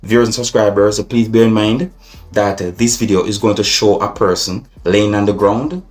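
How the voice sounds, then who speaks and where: A Jamaican man with a fairly low voice talks to his viewers as a video blogger. He is deliberate and repetitive, mixing formal phrasing with patois, with faint music behind him. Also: steady tone. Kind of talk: vlog commentary addressed to viewers.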